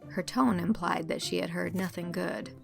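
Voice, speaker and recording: A woman's voice: on the low side, steady tone, moderate pace, gravelly, over music. A woman narrator reading a scripted storybook in an audiobook style.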